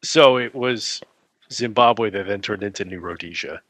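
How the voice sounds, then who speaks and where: A man's voice: lowish voice, moderate pace, a bit bright, no room sound. A man chatting casually as a guest on an interview, informal and jokey as he explains things through examples. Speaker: podcast guest, adventure novelist.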